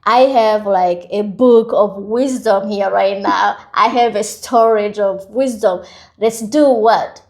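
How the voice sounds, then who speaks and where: A woman's voice: medium pitch, takes it slowly. Upbeat woman hosting a podcast. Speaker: podcast host. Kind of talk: casual conversational podcast introduction and interview.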